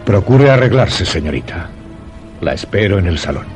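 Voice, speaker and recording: Deep-voiced, obsessive master of the house. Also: male voice, very animated, moderate pace, darkish tone, soft background music. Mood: stern, suspicious. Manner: courteous, intense, dramatic. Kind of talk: Spanish-language suspense radio drama acting.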